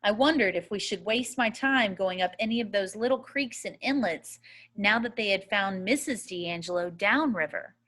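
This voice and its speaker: A woman reading a first-person novel aloud as a storyteller, in a measured, expressive way. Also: mid-range voice. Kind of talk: audiobook-style readaloud of a first-person children's novel.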